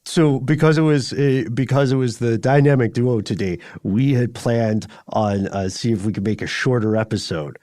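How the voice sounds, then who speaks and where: A man's voice: mid-range voice, moderate pace, hardly any pauses, a little mellow, no echo. Articulate male podcast host, dry close sound. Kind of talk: conversational podcast talk.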